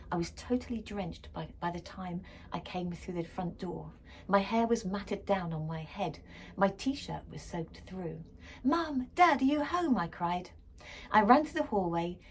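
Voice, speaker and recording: A woman reading a children's story expressively and dramatically, shifting between hushed and emphatic delivery. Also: fairly low pitch, slight background noise. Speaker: story reader.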